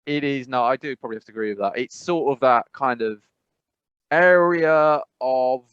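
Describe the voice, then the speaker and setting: A man's voice: medium pitch, moderate pace, a bit bright, smooth, no room sound, dull audio. A man chatting casually as a podcast co-host, rambling and acting out reactions as he goes. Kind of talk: casual chat.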